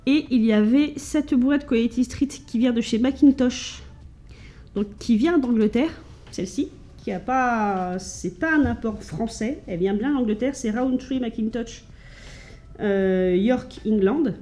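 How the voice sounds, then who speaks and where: Chatty French woman with a warm, mellow voice. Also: a little high, unhurried. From France. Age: adult with children. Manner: chatty, hesitant, lots of fillers and restarts. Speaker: vlogger showing thrift finds. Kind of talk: casual chat to camera. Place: at home.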